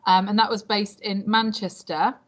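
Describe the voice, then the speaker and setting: A British woman in her late thirties speaks as an interviewee at a medium pitch. She explains things informally and chattily, often pausing to find her words. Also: steady tone. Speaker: language teacher, interviewee. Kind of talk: informal interview answers about past jobs.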